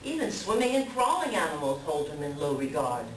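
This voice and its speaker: Woman playing an excitable cawing raven, echoey room. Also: deep voice, a little fast. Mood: scornful, indignant. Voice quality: harsh cawing outbursts. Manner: theatrical, exclamatory. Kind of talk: readers theater animal folktale performance. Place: library room at a live reading. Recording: roomy, distant live recording with noise.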